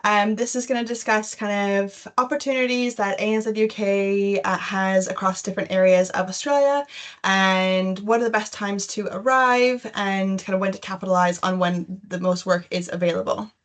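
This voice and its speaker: A woman's voice: mid-range voice, level tone, normal speed. A young adult woman with a Canadian accent, presenting an informal webinar in a chatty, loosely phrased way. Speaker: webinar host, education recruitment consultant.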